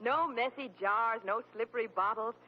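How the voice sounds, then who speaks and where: A woman's voice: on the high side, normal speed. A woman reading a scripted 1940s radio commercial in a chirpy sales voice. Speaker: commercial announcer. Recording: dull lo-fi 1940s radio broadcast.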